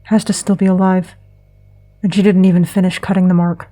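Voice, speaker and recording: A woman acting as a terse, deadpan facility staffer in an understated audio drama. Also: lowish voice, a little fast, close to an everyday voice. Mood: guarded.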